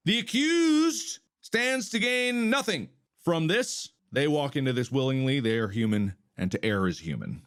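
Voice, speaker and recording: A man's voice: on the high side, very animated, a little slow, fairly bright tone, clear, resonant tone. A man playing a grandiose defense lawyer in a mock courtroom, speaking in a solemn, pompous, theatrical way. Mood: grandiose, pleading. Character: grandiose male defense lawyer pleading to a jury.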